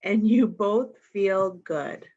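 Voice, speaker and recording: A woman reading a picture book aloud to young children in an expressive way, pausing to explain the pictures. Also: medium pitch, dull audio. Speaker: storytime reader.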